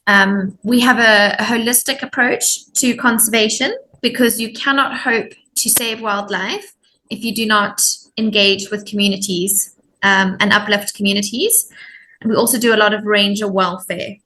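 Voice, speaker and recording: Bright-voiced woman. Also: medium pitch, steady tone, moderate pace, a little hiss or hum, low-quality recording. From Zimbabwe. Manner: friendly, informative, with many ums. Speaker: volunteer program coordinator.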